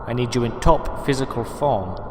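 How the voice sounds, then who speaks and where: An actor playing a calm, sinister male doctor in an audio drama, polite, condescending and quietly menacing toward his captive. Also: mid-range voice, normal speed, natural voice. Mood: cold, threatening. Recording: echoey reverberant room with clear noise.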